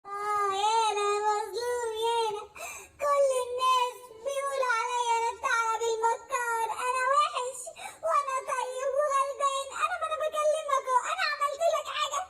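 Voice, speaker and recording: A woman's voice: very high voice, lively intonation, quick pace, very noisy. A woman voicing a sly, hungry fox who whines that it is misunderstood, in an Egyptian accent, for a children's story. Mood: whining. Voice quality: whiny, wheedling.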